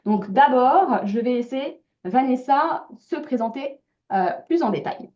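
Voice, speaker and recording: A woman's voice: sits high, animated, a little slow, muffled recording. A woman mortgage broker moderating a webinar over a video call, speaking hesitantly with many fillers. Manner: hesitant, many filler sounds, polite hosting. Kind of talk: webinar presentation.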